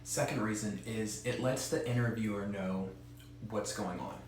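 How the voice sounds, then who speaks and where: A man's voice: on the low side, moderate pace, heavy reverb, a little hiss or hum, poor audio. A man who stutters gives an earnest, direct instructional talk as a presenter for an advocacy group.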